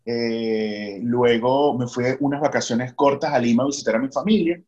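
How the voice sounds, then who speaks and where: A Venezuelan man hosts a relaxed podcast chat with friends. His voice sits at a middle pitch. He moves in an animated way between quick bursts and slower stretches with fillers. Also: highs cut off. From Maracay, Venezuela. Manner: animated, shifts from fast to slow with fillers. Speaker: podcast host; baseball stadium announcer.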